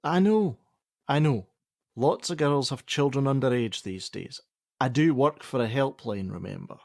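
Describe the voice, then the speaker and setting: A man's voice: medium pitch, animated, moderate pace, vocal fry, no room sound, studio quality. A male actor with a Scottish accent playing a blunt, prickly middle-aged father who works at a helpline, in a radio play. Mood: exasperated. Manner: blunt, argumentative, sarcastic.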